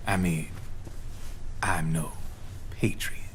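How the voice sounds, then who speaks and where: A man's voice: extremely low pitch, steady tone, slow, slight background noise. An actor with an American accent playing a slick, streetwise male arms dealer in a video game, folksy and sly as he explains. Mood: sly, explaining. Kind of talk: dramatic video game voice acting.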